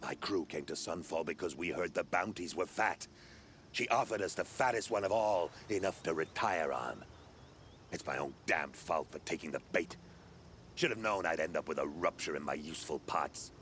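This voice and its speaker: A low-pitched, grumbling mercenary leader from a video game. He is sardonic and bitter, with colourful, folksy complaints, over clear background noise. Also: expressive, normal speed, fairly bright tone. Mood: bitter, rueful. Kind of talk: video game cutscene dialogue acting.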